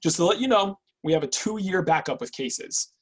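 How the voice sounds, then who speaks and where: An actor playing a guarded, clinical agent with a cool, probing, businesslike manner in an online screenplay reading. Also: male voice, sits high, animated, moderate pace, slightly rough. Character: guarded, clinical intake agent, weary but obedient man. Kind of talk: online screenplay table read.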